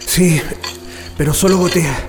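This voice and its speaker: A man's voice: fairly high pitch, measured pace, noisy background, lightly acted. An actor with a Chilean accent playing a resourceful, cautious male prisoner in a radio drama. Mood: practical, cautious. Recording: echoey long reverb with music behind.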